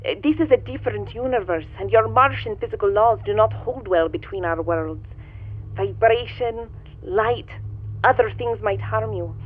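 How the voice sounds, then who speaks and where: A woman playing an imperious, mysterious woman from another universe in a pulp radio drama, her sweet, deliberate voice heard through a radio filter. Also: mid-range voice. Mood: urgent, commanding. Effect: radio transmission filter. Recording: thin radio-filtered voice, narrow band. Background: music.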